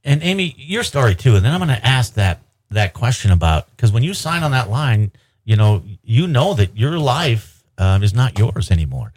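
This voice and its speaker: A man hosting a radio panel show, asking his guests questions in a halting way, full of fillers and restarts. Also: medium pitch, big pitch swings, a little mellow. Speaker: radio talk show host.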